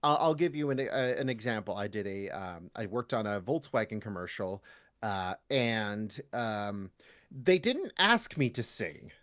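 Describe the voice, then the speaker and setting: A man's voice: fairly low pitch, animated, unhurried, a bit bright. A man speaking as a guest voice actor in a casual podcast interview, acting out imagined remarks as he explains.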